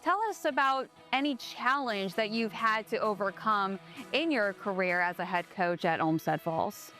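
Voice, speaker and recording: A woman interviewer putting scripted questions to a guest in a polite, measured way. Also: mid-range voice, sharp tone, faint music behind.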